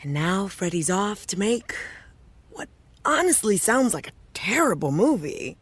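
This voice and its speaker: A woman's voice: mid-range voice, very animated, unhurried, husky, dry recording. A woman playing a jaded, self-doubting American television actress in an audio drama, talking casually and wryly with trailing thoughts. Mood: weary, resigned. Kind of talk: naturalistic audio drama dialogue.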